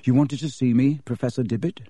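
A man voicing a guarded, polite sixteen-year-old boy prefect who speaks quietly and in a clipped way. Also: medium pitch, fairly even intonation, brisk, breathy edge, dry recording, natural voice.

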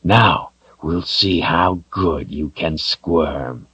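A man's voice: very slow, a bit bright, slightly rough, no echo, highs cut off, exaggerated voice. A man voicing a brutal male demon commander who growls and hisses his threats. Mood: menacing. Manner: low growling and hissing.

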